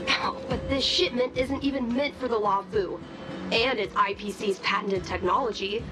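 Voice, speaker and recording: A woman's voice: fairly high pitch, normal speed, close to an everyday voice. An actress playing a flustered, indignant, argumentative company woman in a video game. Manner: protesting, argumentative. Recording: game music behind the voice.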